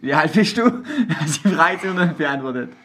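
A man's voice: a little high, lively intonation, normal speed, fairly bright tone, slight background noise. A man from southwest Germany hosting a podcast, talking loosely in slang and laughing often. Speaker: podcast host. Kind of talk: casual chat. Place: house in a village.

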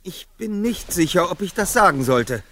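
Radio play role: teenage detective leader, composed, articulate, self-assured, lively pitch movement. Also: male voice, moderate pace, full character voice. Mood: guarded, polite. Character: confident, quick-thinking teenage boy detective, the leader. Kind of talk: German radio play acting.

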